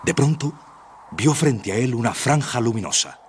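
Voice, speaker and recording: A man's voice: medium pitch, expressive, normal speed, a little hiss or hum. A man narrating a suspense radio drama in a solemn, theatrical, scripted style.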